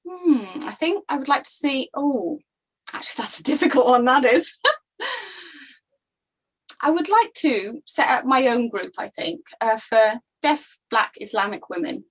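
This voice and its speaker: A woman giving voice to a deaf interviewer, speaking thoughtfully with unhurried pauses. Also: on the high side, animated, a bit bright, slightly rough.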